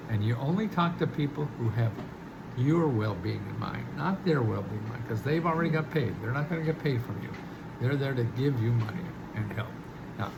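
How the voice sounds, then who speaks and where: A man's voice: medium pitch, creaky, noisy background. An older man hosting a casual money-advice talk, chatty and amused, chuckling between points. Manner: chatty, chuckles at own jokes, many restarts. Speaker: host giving money advice. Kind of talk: casual direct-to-camera talk.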